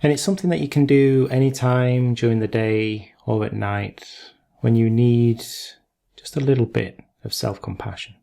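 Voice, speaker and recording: A man leading a guided meditation in gentle instruction, unhurried, leaving long pauses between short phrases. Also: mid-range voice, fairly even intonation, creaky.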